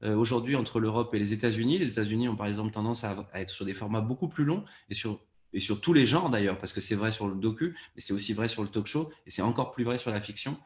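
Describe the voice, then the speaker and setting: Low-voiced man thinking aloud on a panel. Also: fast talker, echoey room. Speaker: panelist, fiction podcast producer. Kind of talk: panel discussion, spontaneous explanation.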